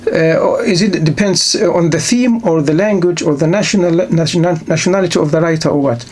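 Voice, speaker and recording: A man from Egypt, giving an unscripted university literature lecture full of rhetorical questions, halting restarts and repeated words. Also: fairly high pitch, darkish tone.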